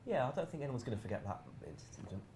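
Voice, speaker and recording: A man's voice: mid-range voice, animated, fast talker, darkish tone, slight background noise. A man telling a work anecdote casually as a game developer, in a dry, understated, precise way. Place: live stream room in an office.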